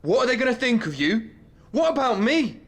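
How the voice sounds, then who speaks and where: An actor playing a young man sectioned in a psychiatric hospital, speaking haltingly and stammering under medication. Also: very high voice, sing-song, exaggerated voice. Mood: angry. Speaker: psychiatric patient. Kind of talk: radio play acting.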